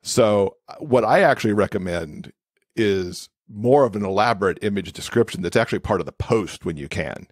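Low-voiced man explaining in conversation. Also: expressive, normal speed, no room sound, clean studio sound. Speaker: podcast guest, accessibility expert and author.

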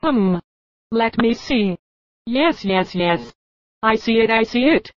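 A woman voicing an all-knowing, smug male seer in a homemade cartoon, with drawn-out mystical pauses. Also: a little high, expressive, no room sound, dull audio.

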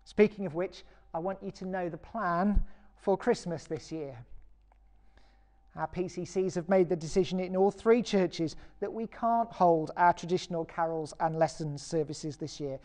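A British woman vicar giving a recorded sermon in a measured, earnest and encouraging way. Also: lowish voice, mellow. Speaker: parish vicar preaching.

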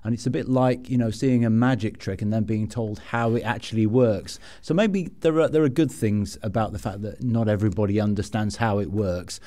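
A man with a lowish, dark and relaxed voice speaks as a podcast host. He talks conversationally and reflectively, drawing guests in with tag questions. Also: fairly quick, few pauses. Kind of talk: conversational interviewing.

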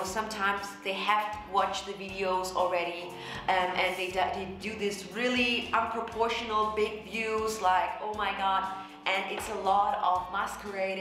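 A young woman vocal coach, a native German speaker, explaining herself in casual commentary to the camera with lively gestures. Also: medium pitch. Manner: animated, gestures a lot, explanatory. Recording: very echoey room.

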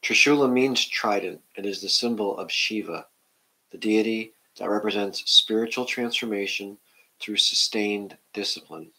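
A middle-aged man calmly guiding a meditation in a gentle, measured way. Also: lowish voice, low-quality recording. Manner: calm, measured. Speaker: meditation guide. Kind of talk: gentle guided meditation and reading aloud. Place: indoor room at home, seated on the floor.

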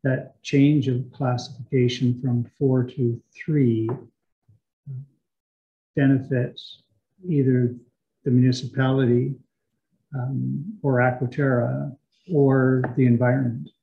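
Man, council chair, flat delivery, deliberate and courteous, echoey room. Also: mid-range voice, gravelly. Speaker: mayor chairing a council meeting. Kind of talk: formal meeting remarks and questions. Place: large meeting room, indoors. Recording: dull, echoey meeting room audio.

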